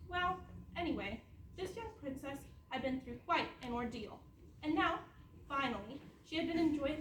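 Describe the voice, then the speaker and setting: A woman plays a fairytale narrator with a wry, presentational delivery on stage. Her voice is high, caught by a distant mic in a noisy, echoey hall. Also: lively intonation, normal speed, fairly bright tone.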